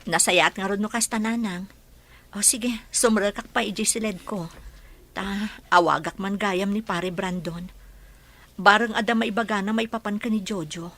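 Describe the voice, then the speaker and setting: A caring mother in middle age, earnest and worried, as a woman plays her. Her voice sits at a medium pitch for a woman. She is a figure in a homespun radio drama. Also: steady tone, moderate pace, fairly bright tone.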